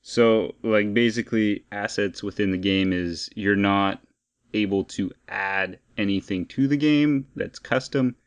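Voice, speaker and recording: A man's voice: lowish voice, a little slow, clear, resonant tone, lo-fi sound. A man co-hosting a podcast, chatting casually and explaining gaming news in an informal, bantering way. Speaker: podcast co-host.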